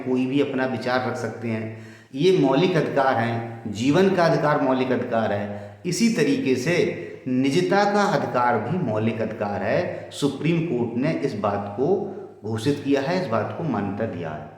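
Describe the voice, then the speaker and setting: A middle-aged man explains legal matters to viewers in a formal, deliberate way, repeating points for emphasis. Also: mid-range voice. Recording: very echoey room with long reverb.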